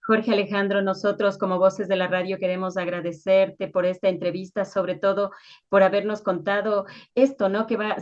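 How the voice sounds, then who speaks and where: Woman, Ecuadorian accent, mid-pitched voice, radio host, courteous formal interviewing, slightly muffled lo-fi recording. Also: a little mellow. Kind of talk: interview questions and formal presenting. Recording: slightly dull, lo-fi sound.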